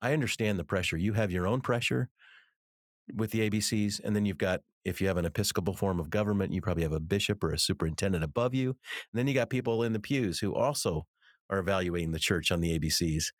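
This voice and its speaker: A middle-aged American man with a fairly low voice, recorded dry without room sound. He talks as a guest in conversation, earnestly telling stories and leaning on repeated words to make a point. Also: fast talker, no room sound. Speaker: interview guest, author and ministry teacher. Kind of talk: conversational interview with anecdotes.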